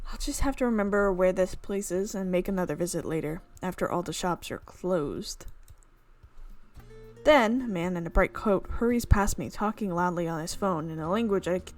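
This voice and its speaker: A young woman reading a visual novel aloud, breaking off for chatty, amused asides about the script. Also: medium pitch. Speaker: visual novel let's player. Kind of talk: reading a game aloud with casual commentary.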